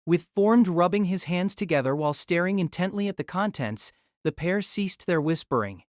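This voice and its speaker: A woman's voice: lowish voice, lively intonation, normal speed, darkish tone, dry recording, clean studio sound. A woman reading scripted narration of a light novel as the narrator of an audio drama.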